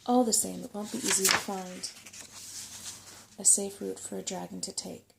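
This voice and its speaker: A woman's voice: medium pitch, flat delivery, moderate pace, creaky, heavy background noise. A woman voicing a self-satisfied old male rat, a chatty and cautious know-it-all, in a bedtime story. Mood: knowing, curious.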